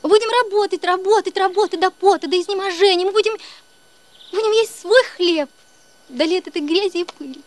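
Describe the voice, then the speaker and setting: A woman's voice: extremely high pitch, brisk, slight background noise. An actress playing a loving young wife who pleads with her husband earnestly and emotionally in a film. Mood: pleading.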